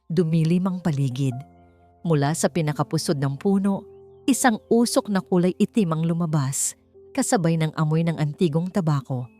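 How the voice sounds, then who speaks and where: Female Filipino audiobook narrator, lower register, measured and suspenseful delivery, soft music underneath. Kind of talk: dramatic scripted story narration.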